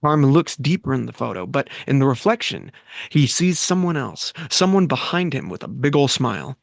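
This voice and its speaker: A man's voice: mid-range voice, big pitch swings, moderate pace, creaky, no room sound. A man reading the stage directions aloud at a script table read, with the odd stumble and laugh. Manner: reads stage directions with self-corrections and laughs.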